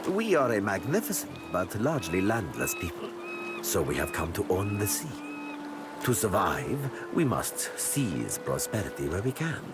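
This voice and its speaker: A courtly, calculating pirate captain for a game, very low in pitch. His phrasing is formal and elaborate, rising and falling in lively swoops. Loud music plays behind him. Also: moderate pace. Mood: proud, measured. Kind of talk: video game character acting.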